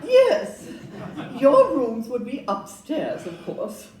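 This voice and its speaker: A woman's voice: high voice, huge pitch swings, takes it slowly, dark tone, exaggerated voice. An actress playing a polite, upbeat Victorian London estate agent in a stage audio play, genteel and salesmanlike as she glosses over flaws. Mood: glossing over flaws. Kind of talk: audio-play acting before an audience. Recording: roomy, distant live pickup, faint noise.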